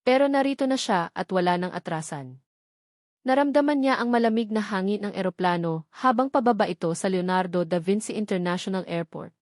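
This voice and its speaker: A woman's voice: medium pitch, brisk, darkish tone, no room sound, studio quality. A woman with a Filipino accent reading a scripted romance story as its narrator.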